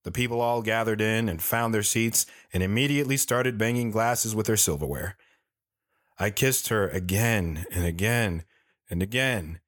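A man's voice: on the low side, normal speed, a bit bright, lightly acted. A man playing an earnest, reflective drifter who tells his life story plainly and sincerely in an audio drama.

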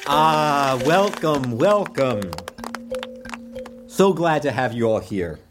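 Animated, effusive tour guide. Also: male voice, medium pitch, slow, over music. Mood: effusive welcome. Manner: welcoming, grandly earnest, presentational. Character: effusive male tour guide of a plant utopia. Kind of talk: audio drama acting.

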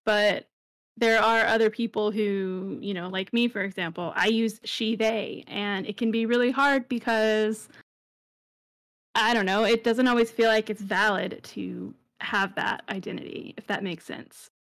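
A female streamer speaks earnestly in a casual group chat, her voice mid-pitched. She pauses now and then to find the right words. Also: fairly even intonation, a bit bright. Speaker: streamer, guest.

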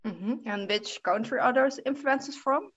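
A woman's voice: a little high, moderate pace, fairly bright tone, dull audio. A woman hosting a casual podcast chat, friendly, with some fillers and hesitations. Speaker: podcast host.

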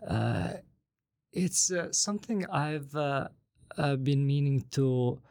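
A man's voice: medium pitch, slow, darkish tone, clean tone, no room sound, clean studio sound. A man with a Bosnian accent, a native Bosnian speaker, answers as an interviewed author in a conversational way, hesitant and often repeating words as he thinks.

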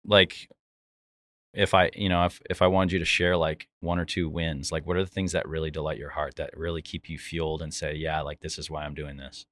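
Very low-voiced man hosting a church chat. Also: little pitch movement, brisk, dry recording. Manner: warm encouraging interjections, rambling questions. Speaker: church missions director and host. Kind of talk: casual church interview.

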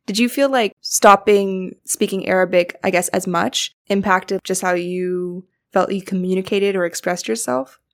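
A woman's voice: medium pitch, steady tone, normal speed, hardly any pauses. A young woman hosting a podcast, supportive and thoughtful as she asks her guest questions. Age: young adult. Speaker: podcast host. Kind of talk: casual interview chat.

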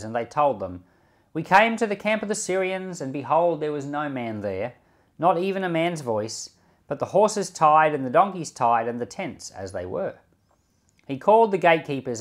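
A man speaking as a Bible podcast host, with measured, emphatic phrasing in devotional reading and commentary. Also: a little high.

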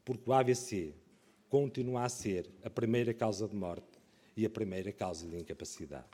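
A man's voice: fairly low pitch, fast, mellow, slightly rough. Man closing a formal speech. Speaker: speaker. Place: parliament session hall.

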